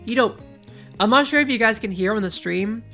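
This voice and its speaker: A young woman with a raspy voice, chatting in a rambling, filler-heavy way while she plays a game on a live stream, speaking into a desk microphone. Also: fairly high pitch, brisk, sharp tone, faint music behind. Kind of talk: casual live-stream gaming chat.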